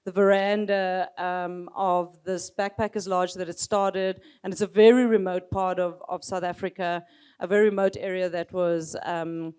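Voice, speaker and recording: A woman with a loud voice speaking on a panel, telling an earnest story and repeating words as she searches for them. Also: lowish voice, flat delivery, moderate pace, smooth. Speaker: panelist, nonprofit co-founder.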